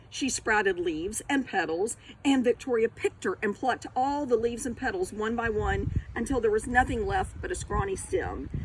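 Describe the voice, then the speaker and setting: A woman reading a storybook aloud for young children, expressive and deliberate, addressing her listeners. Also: on the high side, a little hiss or hum, poor audio.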